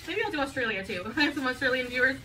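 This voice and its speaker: A chatty woman with a bright, slightly high voice rambles through a grocery haul vlog. She is heard from a distance through the camera in her kitchen, with a faint room hum behind her. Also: expressive, very fast. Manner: chatty, rambling, light laughs. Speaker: vlogger. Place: home kitchen, indoors. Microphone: camera on the counter.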